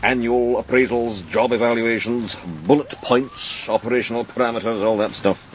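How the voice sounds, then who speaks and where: An actor playing an impatient senior police detective in a radio crime drama, with a brisk, authoritative, dry manner. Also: male voice, medium pitch, steady tone, slight background noise, natural voice. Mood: weary, businesslike. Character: impatient senior police detective, male, running a murder investigation.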